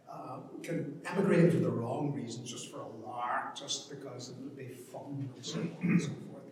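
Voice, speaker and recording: A man with a somewhat high voice speaks off the cuff about literature in an informal lecture style. He is hesitant, stopping and repeating words as he works out each thought. The sound is very echoey, with long hall reverb, and he seems some distance from the microphone. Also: a little mellow. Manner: halting, hesitant, frequently repeating words while thinking aloud. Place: large reverberant indoor event space.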